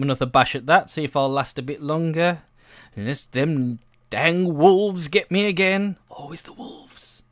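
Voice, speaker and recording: A man's voice: mid-range voice, a bit bright, smooth, dry recording, studio quality. A man chatting casually over gameplay in a hesitant, self-deprecating, rambling way, like a video creator thinking aloud.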